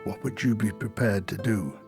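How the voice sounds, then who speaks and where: An actor playing a sly, wry royal advisor who narrates a fantasy audio drama in a measured, courtly, sardonic way. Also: male voice, on the low side, lightly acted. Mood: wry narrating. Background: music.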